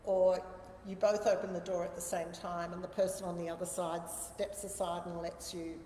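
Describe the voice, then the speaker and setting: A woman's voice: fairly low pitch, level tone, normal speed, mellow. A middle-aged woman with an Australian accent, chatting reflectively and giving her opinions as an interview guest. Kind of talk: casual conversational interview. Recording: echoey reverberant room with background noise.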